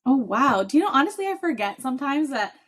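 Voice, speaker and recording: Animated woman podcast host in her thirties. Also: high-pitched, fast talker. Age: 30s. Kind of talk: casual chat.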